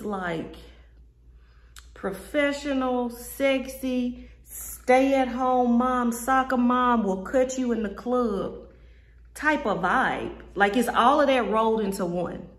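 Sassy woman reviewing wigs in an echoey room. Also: medium pitch. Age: 30s. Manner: sassy, joking, talks straight to viewers. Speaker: wig reviewer. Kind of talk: casual review vlog. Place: indoor room with brick wall.

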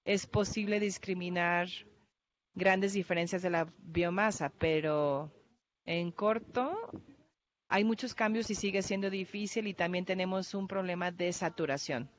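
Ponente con acento portugués, dicción lenta y vacilante, cámara lejana en un auditorio. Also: female voice, on the low side, unhurried, slight background noise. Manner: careful, hesitant, with restarts and fillers. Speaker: researcher presenting at a workshop. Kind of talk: conference talk. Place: large conference hall. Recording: dull lo-fi hall recording.

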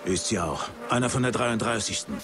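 A man playing a gruff, level-headed special forces soldier with a blunt, dry manner in a German-dubbed video game. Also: on the low side, brisk, noisy background, lightly acted. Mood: dry, wary. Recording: loud music under the voice.

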